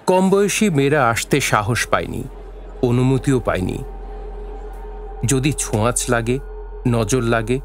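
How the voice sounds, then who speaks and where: A man narrating a scripted story for an audio drama, in a literary storytelling style. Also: medium pitch.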